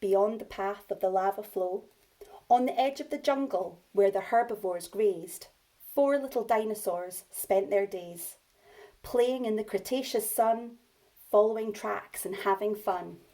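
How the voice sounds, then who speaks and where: A woman speaking as a primary school teacher, addressing and reading to young children in simple, expressive language. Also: medium pitch, a little slow, rough recording. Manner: simple, child-directed delivery.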